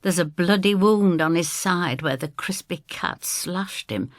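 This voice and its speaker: A woman reading a dramatic first-person story in an expressive, theatrical way. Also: on the low side, a little slow, no room sound, clean studio sound. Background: music.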